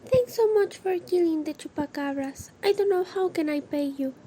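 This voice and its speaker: A woman acting a grieving, apologetic farmer and nanny in an amateur radio drama, speaking haltingly. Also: extremely high pitch, warm tone. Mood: grateful, mournful. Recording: muffled, with clear background noise.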